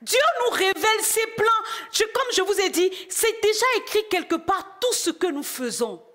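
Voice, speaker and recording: Brief: high-pitched woman from Benin, middle-aged preacher, testimony-style sermon, swings from quiet to shouting. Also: big pitch swings, normal speed. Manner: swings from hushed to loud exclamations.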